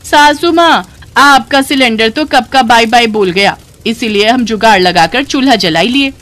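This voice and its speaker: A woman's voice: a little high, moderate pace, a bit bright, natural voice. A woman voicing a brash, cheeky young village daughter-in-law in a folksy, exclamatory way with a rustic Banaras accent, for a story. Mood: pleading.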